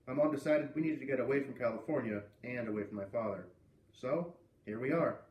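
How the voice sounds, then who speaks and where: A man's voice: mid-range voice, brisk, lo-fi sound, natural voice. A man reading aloud the part of an earnest, bookish schoolgirl confiding in a classmate, in a children's novel. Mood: hurt, candid. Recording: roomy, distant mic, faint background noise.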